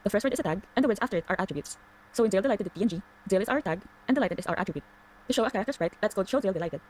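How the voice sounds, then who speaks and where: A woman reading scripted tutorial narration, explaining things clearly step by step. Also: medium pitch, animated, very fast, a little mellow, rough recording.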